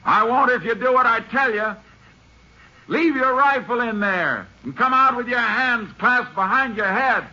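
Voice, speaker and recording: A man's voice: very high-pitched, lively intonation, unhurried, pushed and bright, slight background noise, exaggerated voice. An actor playing a commanding lawman in a radio western, calling out insistently to an outlaw. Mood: stern. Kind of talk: 1950s radio western acting. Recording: muffled old radio recording.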